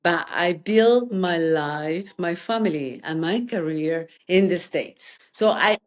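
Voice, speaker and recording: Colombian-accented businesswoman, lively guest. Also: fairly low pitch, slow pace. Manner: energetic, talks in bursts with non-native phrasing. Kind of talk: interview answers.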